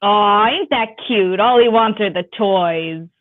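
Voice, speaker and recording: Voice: mid-range voice, slow, natural voice. A performer at a live comedic table read playing a greedy, mocking cartoon ghost on a lo-fi microphone.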